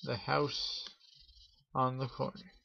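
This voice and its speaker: Slow-talking man telling spooky stories, lo-fi. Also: medium pitch, little pitch movement, sharp tone. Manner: theatrical showman address with drawn-out pauses. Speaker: host of a Halloween story show. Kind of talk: spooky storytelling to an audience. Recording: dull lo-fi audio with clear noise.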